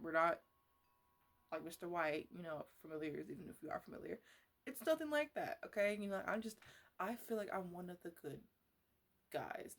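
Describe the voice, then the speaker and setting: Woman acting as a rambling, eccentric botanist in ASMR roleplay. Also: lowish voice. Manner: rambling, halting, repeats herself. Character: eccentric defensive woman botanist fussing over her experimental plants. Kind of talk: ASMR roleplay, casual improvised chatter to plants.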